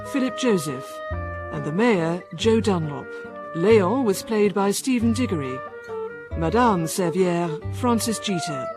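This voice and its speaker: A woman announcer reads scripted radio credits in a mid-pitched voice. Plucked guitar music plays clearly behind her.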